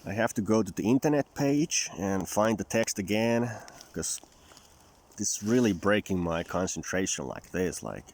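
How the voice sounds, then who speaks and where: A man's voice: fairly low pitch, animated, normal speed, a little mellow, a little hiss or hum. A man from Finland who speaks English with a Southern US-tinged accent, rambling casually and in a folksy, profane way in a vlog. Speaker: vlogger.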